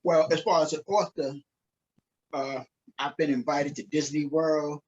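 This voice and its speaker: A man's voice: on the high side, fairly even intonation, unhurried, a little hiss or hum. A man answering casually as a guest in a remote interview, speaking as a minister, author and coach. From Queens, New York, USA. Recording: slightly dull, lo-fi call audio.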